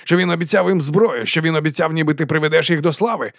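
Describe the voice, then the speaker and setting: A man's voice: a little high, fast talker, fairly bright tone, no room sound, studio quality, pitched above the performer's own voice, natural voice. Man playing an imperious, accusing grand duke, raised voice. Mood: accusing, wounded. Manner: commanding, emphatic.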